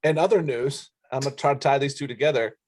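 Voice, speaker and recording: A man's voice: mid-range voice, fast, a little mellow. A man co-hosting a sports podcast, recapping news and giving blunt, informal opinions in casual talk.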